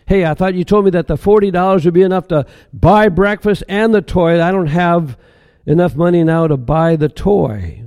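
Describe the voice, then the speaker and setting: A man putting on a pleading young mother, raised high. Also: normal speed, a little mellow. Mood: chatty. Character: troubled young mother, woman, guarded then meek.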